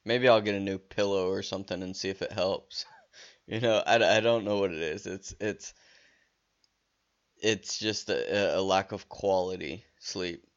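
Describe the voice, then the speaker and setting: A man's voice: low voice, steady tone, measured pace, muffled recording. A man hosting a casual solo podcast, rambling with many restarts and fillers while he is losing his voice. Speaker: podcast host.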